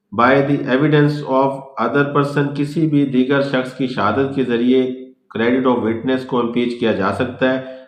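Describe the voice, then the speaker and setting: A man with a Pakistani accent lectures in a roomy, echoing space. His delivery is slow and formal, with the deliberate sound of someone reading from prepared notes. His pitch sits in the middle for a man. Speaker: law lecturer. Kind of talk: scripted legal lecture mixing English and Urdu.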